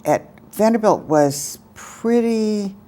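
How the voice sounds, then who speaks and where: A woman in her sixties who grew up in Alabama, answering oral history questions in a thoughtful, measured way with pauses. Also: mid-range voice, animated, mellow. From Alabama, American South. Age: 60s. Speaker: interviewee, lawyer.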